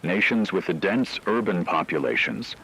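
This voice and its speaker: A man playing a grave, formal emergency radio newsreader, delivering scripted catastrophe reports in a measured, solemn manner. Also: low-pitched, expressive, pushed and bright, breathy edge, full character voice, rough recording. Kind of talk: scripted dramatic news narration.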